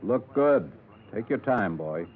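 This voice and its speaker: An actor playing a tough, weary middle-aged small-town police lieutenant in a 1950s radio detective drama, gruff and commanding with clipped questions. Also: male voice, lowish voice, lively intonation, takes it slowly. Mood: brisk, commanding. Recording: 1950s radio broadcast, thin phone-like band.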